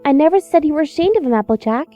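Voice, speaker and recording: A woman voicing an earnest, caring young pony who is gently serious, on a dull microphone, in an audio drama. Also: sits high, expressive, very fast, soft background music. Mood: reassuring. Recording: dull, band-limited microphone.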